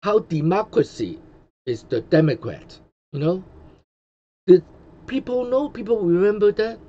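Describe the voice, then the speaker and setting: A man's voice: fairly high pitch, dark tone. A man from Hong Kong with a Hong Kong accent, a Cantonese speaker hosting his own channel in English, chatting casually with many fillers and restarts. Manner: rambling, many fillers and restarts, chuckles often. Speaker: channel host. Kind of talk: casual commentary livestream. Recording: dull lo-fi sound with faint noise.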